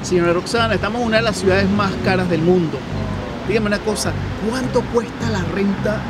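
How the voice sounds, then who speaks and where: An energetic male street interviewer with a notably high voice greets viewers and fires off questions outdoors. He talks on a lapel mic with loud music playing behind him. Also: brisk. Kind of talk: upbeat street vox-pop hosting.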